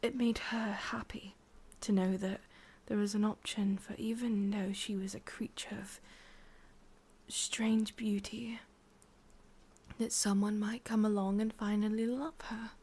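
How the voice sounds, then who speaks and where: Woman acting breathy, whispering English witch. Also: mid-range voice, little pitch movement. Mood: tender, wistful. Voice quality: soft, breathy, mostly whispered. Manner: slow, halting, confiding. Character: sorrowful, obsessive female witch, tender yet menacing. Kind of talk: ASMR roleplay, intimate whispered monologue.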